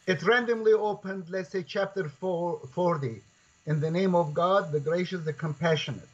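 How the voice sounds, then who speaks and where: A man with a Kurdish accent speaking as a guest in a discussion, phrasing things in a halting, deliberate way. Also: high voice. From Turkey. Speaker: guest, scholar and author. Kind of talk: conversational discussion. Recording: dull lo-fi online call.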